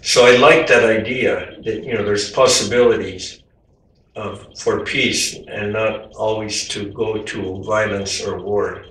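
An older man, an artist, talking informally about his painting in a gentle, halting way with many fillers and restarts. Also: lowish voice, steady tone. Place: home room with windows, indoors. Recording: very echoey room, voice from a distance.

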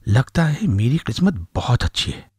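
A man playing an earnest, anxious husband and office worker in an animated story, with a husky voice. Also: medium pitch, normal speed, dry recording, clean studio sound. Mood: grateful, earnest. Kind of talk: animated moral story voice acting.

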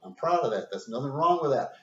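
A man's voice: mid-range voice, quick pace. A man in his fifties with a Southern US accent, giving a folksy classroom lecture as a teacher in a room with a whiteboard. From American South. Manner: animated, folksy, gesturing with hands. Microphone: camera at a distance. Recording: roomy, distant, dull webcam sound.

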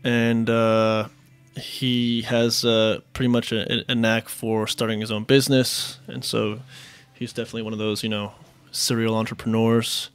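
A man in his thirties from Queens, answering interview questions in a relaxed, halting way with many fillers. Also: fairly low pitch, smooth, no room sound, faint music behind. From Queens, New York. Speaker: restaurant managing partner, guest.

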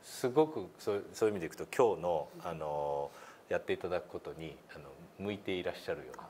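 Low voiced guy teaching a lesson, lots of ums, polite, noisy room. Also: expressive, fast talker, darkish tone. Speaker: pronunciation instructor demonstrating an app. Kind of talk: explanatory lesson with polite questions. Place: meeting room, indoors.

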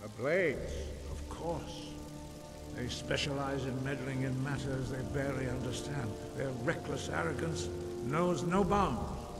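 An actor playing a stern, wise old monk master in a fantasy video game, speaking in a solemn, formal, measured and admonishing way. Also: male voice, mid-range voice, lightly acted. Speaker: game character, mentor. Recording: echoey stone hall, music behind.

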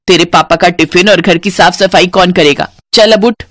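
A woman's voice: fairly low pitch, fairly even intonation, normal speed, dry recording, studio quality. A woman voicing a harsh older stepmother who nags and scolds in a cartoon story. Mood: scolding. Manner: sharp-tongued, nagging.

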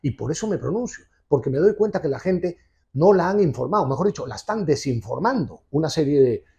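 Gravelly, emphatic man in his sixties. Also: fairly high pitch, lively intonation, fairly quick, warm tone, lo-fi sound. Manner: emphatic, insistent, gesturing. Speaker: TV show host and commentator. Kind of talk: opinionated political commentary. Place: indoor office with leather sofa. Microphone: lapel mic.

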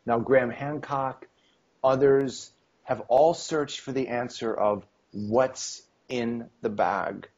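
Husky man with a slight cold. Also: mid-range voice, steady tone, takes it slowly, darkish tone. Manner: rambling, many ums, acts out quoted dialogue. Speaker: interview guest, esoteric history author. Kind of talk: casual interview over video call. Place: home room on video call. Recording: dull lo-fi video call audio.

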